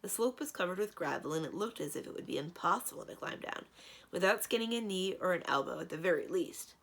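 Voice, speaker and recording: A woman reading a children's story aloud in an expressive read-aloud style. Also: lowish voice, fast talker, creaky. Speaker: library read-aloud reader.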